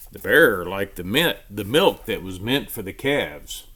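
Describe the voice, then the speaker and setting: A man with a fairly low voice reads a storybook aloud to children. His delivery is expressive and emphatic, in the manner of a storyteller. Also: a little slow, slightly rough.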